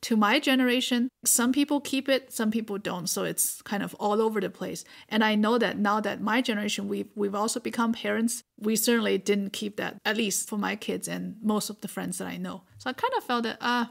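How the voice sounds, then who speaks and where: A woman's voice: medium pitch, steady tone, brisk, vocal fry, few pauses. A middle-aged woman whose first language is Mandarin, patiently explaining Chinese words and tones as a guest teacher.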